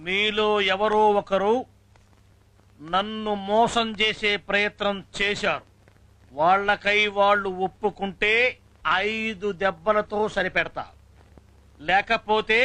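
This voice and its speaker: A man's voice: extremely high pitch, pushed and bright, heavily acted, rough recording. A man playing a bullying, easily outraged village schoolteacher, scolding and threatening, often repeating his words in comic film style.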